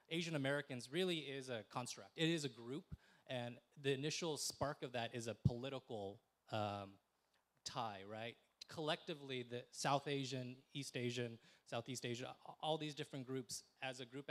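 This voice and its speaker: A young man answering on a discussion panel, speaking thoughtfully with frequent ums and restarts. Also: medium pitch. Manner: thoughtful, many fillers and restarts. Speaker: panelist, film festival director.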